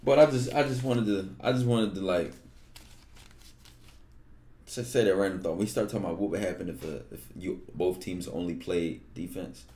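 Young male streamer, low-ish voice, reads aloud casually, slangy asides, echoey room. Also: moderate pace. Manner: relaxed, slangy, loud outbursts when joking. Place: bedroom streaming setup. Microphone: headset.

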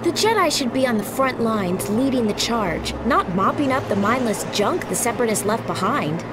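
An actress playing an eager, cocky teenage alien apprentice in an animated game, sassy and impatient. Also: female voice, on the high side, normal speed, very noisy, close to an everyday voice. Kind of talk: animated game cutscene acting.

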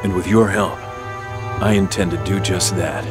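An actor playing an earnest, determined, cool-headed government agent in a video game. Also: male voice, sits low, moderate pace, close to an everyday voice. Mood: resolute. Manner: earnest, resolute, to the point. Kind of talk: video game action hero acting. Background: loud background music.

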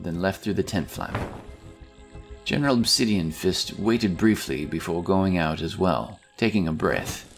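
A man reading a scripted fantasy story as an audiobook narrator, in a dramatic, deliberate manner. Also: sits low, poor audio.

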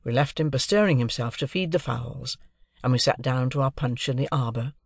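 A male audiobook narrator reads literary prose. The voice is mid-pitched with noticeable creak, recorded dry with no room sound. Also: a little fast, no echo.

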